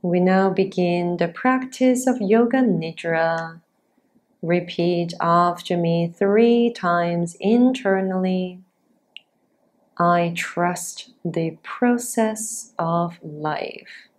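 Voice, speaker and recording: A woman with a slightly low voice leads a guided yoga nidra meditation. She speaks extremely slowly, in short, measured phrases. Long pauses separate each instruction, keeping the delivery unhurried throughout. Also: a little mellow. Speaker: yoga nidra teacher guiding a practice.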